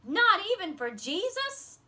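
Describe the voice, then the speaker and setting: A woman's voice: very high-pitched, sing-song, takes it slowly, pushed and bright, voice pushed up, exaggerated voice. A woman voicing a brash, indignant schoolgirl with a blunt, outspoken manner in a children's story. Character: bossy, brash, indignant schoolgirl from a rough family. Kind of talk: children's novel read aloud.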